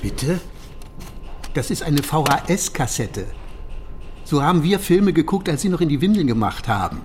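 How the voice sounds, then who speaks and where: An actor playing a gruff, bossy older police chief in a German radio crime drama, brusque and rambling. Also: male voice, on the high side, very animated, moderate pace, a little mellow, slight background noise. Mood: bossy, wry. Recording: music playing behind the voice.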